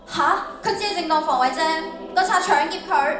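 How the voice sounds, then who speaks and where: A woman's voice: very high voice, brisk, recorded from a distance. A teenage girl playing a frustrated, idealistic young angel in a radio drama, with dramatic, declamatory delivery. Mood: indignant. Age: teens. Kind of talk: stage radio drama acting. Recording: echoey hall, off-mic.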